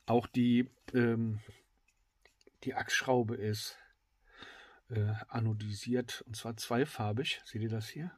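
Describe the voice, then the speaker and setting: A middle-aged man with a slightly congested voice, reviewing a knife in a casual, filler-heavy way that often drops to excited whispers. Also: medium pitch, takes it slowly, long pauses, no room sound. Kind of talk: casual tabletop product review. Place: indoors at a wooden table.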